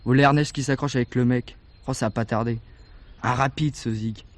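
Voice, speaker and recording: An actor as a fast-talking cheeky young lad. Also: male voice, medium pitch, steady tone, dry recording, natural voice. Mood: offhand, grudging. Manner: slangy, insolent, offhand. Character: cheeky, defensive working-class teenage lad with slangy speech. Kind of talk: French radio drama dialogue.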